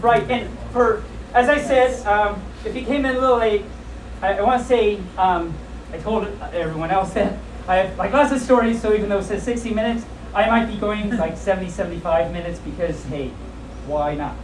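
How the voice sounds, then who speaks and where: A woman's voice: mid-range voice, moderate pace. A woman hosting a live storytime, reading to an audience with playful, jokey, chatty asides. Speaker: live storytime host at a fan convention. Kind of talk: reading a comic children's story aloud to an audience, with casual asides. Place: indoor convention room with audience. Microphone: distant camera filming a live event. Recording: distant echoey room recording with background noise.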